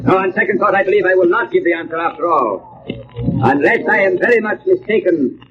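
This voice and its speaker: An actor playing a grave, commanding stage mentalist in a radio drama, speaking formally and deliberately. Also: male voice, high voice, a loud crowd, close to an everyday voice. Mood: ominous. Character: grave, theatrical stage mentalist addressing his audience. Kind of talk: 1940s radio acting. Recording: noisy, tinny old radio recording.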